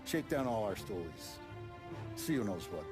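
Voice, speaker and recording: An actor playing a grave, commanding mafia boss in a video game cutscene, speaking in a measured, ominous way. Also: male voice, fairly low pitch, very animated. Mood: regretful, resolute. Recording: music behind the voice.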